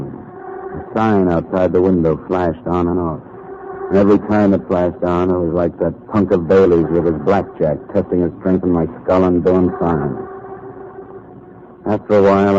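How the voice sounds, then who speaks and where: An actor playing a hard-boiled, wisecracking newspaper columnist in 1940s radio noir, speaking tersely and toughly. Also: male voice, sits low, warm tone, natural voice. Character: hard-boiled, defiant male newspaper columnist narrating his story. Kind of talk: 1940s radio noir acting and first-person narration. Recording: noisy, muffled 1940s radio recording.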